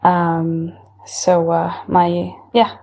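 Young Italian-accented woman, slightly low voice. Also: monotone, drawn-out words, gravelly, dark tone. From northern Italy. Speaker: singer-songwriter being interviewed. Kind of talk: casual interview conversation.

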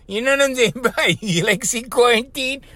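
A man's voice: extremely high pitch, brisk, bright, forceful tone, no echo. A man playing a brash Hawaiian ex-con who hosts a podcast, speaking in Hawaiian Pidgin with laid-back slang and a "bro" on every line. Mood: preachy, joking. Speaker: podcast host. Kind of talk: comedic character monologue.

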